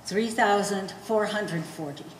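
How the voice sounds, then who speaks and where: A woman's voice: medium pitch, a little slow, close to an everyday voice. Woman playing a blunt old mother, echoey hall. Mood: shrewd, grumbling. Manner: broken English, blunt, argumentative. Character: blunt, quarrelsome old immigrant Polish mother, scolding and shrewd. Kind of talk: live stage play acting. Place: art gallery stage reading. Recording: echoey hall, faint noise.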